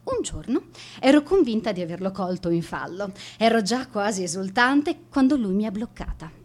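Bitter, sarcastic female schoolteacher played by an actress for radio drama; higher register, big melodic swings. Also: a little mellow, natural voice. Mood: smug, sardonic. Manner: sarcastic, asking and answering herself. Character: bitter, spiteful, strict female schoolteacher, obsessed and vindictive. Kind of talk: theatrical radio monologue.

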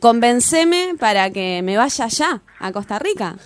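A woman's voice: fairly high pitch, sing-song, unhurried. A woman with an Argentine accent co-hosting a radio chat, playful and flirty in her questions. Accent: Argentine Spanish. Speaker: radio co-host. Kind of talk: casual radio interview.

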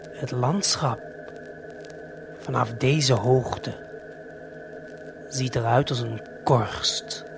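A man narrates a surreal audio play straight to the listener, with intimate, deliberate, hypnotic phrasing. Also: mid-range voice. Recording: music under the voice. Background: music.

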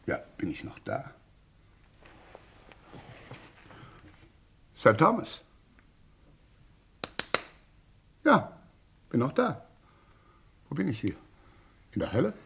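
A man playing a wary, shrewd, wealthy English gentleman in an old German radio crime drama, with deliberate, dramatic delivery. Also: mid-range voice, wildly swooping pitch, vocal fry, breathy edge, full character voice. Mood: stunned. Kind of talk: German radio crime drama acting, mid-20th century.

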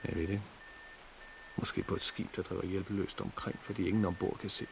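An actor playing a practical, courteous man surviving a catastrophe in a 1960s radio drama, with measured, matter-of-fact delivery. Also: low voice, level tone, vocal fry, a little hiss or hum. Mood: grave.

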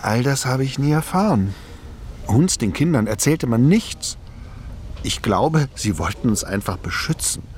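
A man's voice: medium pitch, animated, a little slow, a little mellow, a little hiss or hum, close to an everyday voice. An actor playing an older man who reflectively recalls his childhood in a German radio play. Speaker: eyewitness narrator in a radio documentary drama.

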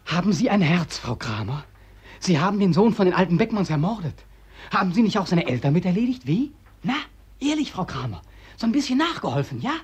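Husky, haunted young soldier, wildly swinging pitch. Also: male voice, very animated, brisk, exaggerated voice. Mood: bitterly accusing. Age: young adult. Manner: mockingly deferential, accusing, anguished. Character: bitter, haunted young drowned soldier, war returnee. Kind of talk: mid-century German radio drama acting. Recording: dull, band-limited old radio recording.

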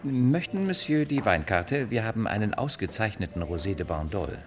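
An actor playing a formal, deferential waiter in a German radio drama, stiffly polite in manner. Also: male voice, lowish voice, lively intonation, normal speed, soft background music, lightly acted. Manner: stiffly polite, echoes orders.

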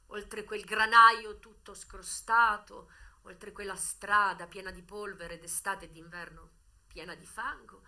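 A woman giving an expressive, theatrical first-person narration of a memoir, moving between hushed and emphatic delivery. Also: mid-range voice.

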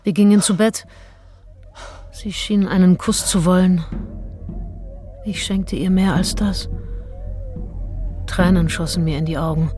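Eine Schauspielerin spricht im literarischen Hörspiel eine verliebte, verletzte Tagebuchschreiberin, vertraulich und getragen von Leidenschaft. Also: female voice, fairly low pitch, steady tone, measured pace, pauses often, dark tone, lightly acted. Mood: intimate, confiding. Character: romantic, wounded, self-dramatising female diarist in love. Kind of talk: literary radio drama, first-person diary monologue. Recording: music behind the voice. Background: prominent music.

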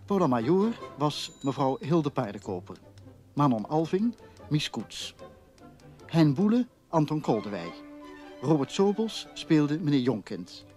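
A man's voice: a little high, sing-song, measured pace, warm tone, soft background music. A man reading scripted closing credits as a radio announcer.

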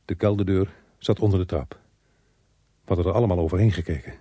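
An actor playing a brisk, matter-of-fact police inspector in a radio drama, with a husky voice. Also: male voice, low voice, steady tone, dark tone, dry recording. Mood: grave narration. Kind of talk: radio drama dialogue and narration.

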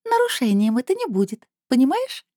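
A woman reader voicing a playful, coaxing female being with a soft, enveloping mezzo voice in a storybook. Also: high-pitched, big pitch swings, fairly quick, no echo, clean studio sound, voice pushed up. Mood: coy, playful. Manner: purring, teasing, coaxing.

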